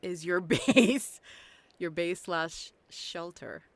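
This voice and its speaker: A woman's voice: on the low side, very slow. Young adult female host, bubbly and giggly, reads listener questions, casual. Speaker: interviewer, host. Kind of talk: casual interview chat.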